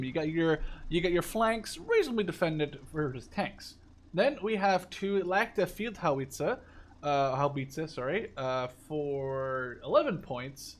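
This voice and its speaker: Higher-voiced young man commentating on a game. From Netherlands. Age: 20s. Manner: informal, enthusiastic, rambling with occasional swearing. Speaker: gaming video creator. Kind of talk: casual game overview commentary. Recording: clear background noise.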